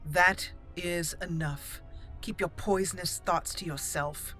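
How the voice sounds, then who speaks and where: Bright-voiced woman roleplaying through a fantasy game. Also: lowish voice, steady tone, slow, faint music behind. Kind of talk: let's play commentary with in-character dialogue.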